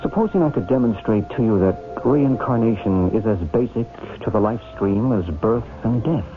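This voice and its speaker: An actor playing a calm psychic healer and hypnotist, measured, probing and authoritative, in old-time radio drama style. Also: male voice, on the low side, over music, close to an everyday voice. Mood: calm, assured. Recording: tinny, band-limited old radio recording.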